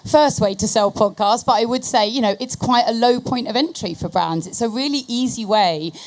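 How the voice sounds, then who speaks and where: A woman's voice: on the high side, moderate pace, rough recording. Woman panelist with a mid-range voice. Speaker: media agency panelist advising brands. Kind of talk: panel discussion talk.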